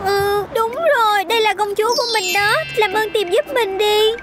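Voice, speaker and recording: A woman voicing an excitable, earnest little child hero in a children's cartoon dub. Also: extremely high pitch, moderate pace, very noisy. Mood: pleading. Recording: loud music behind.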